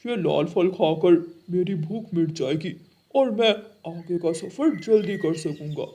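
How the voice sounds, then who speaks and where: A performer acts out a greedy, treacherous male crow for a children's cartoon. The voice is rough and husky, with slow, exaggerated delivery. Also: mid-range voice, animated, dark tone. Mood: fretful. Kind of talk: Hindi children's cartoon acting.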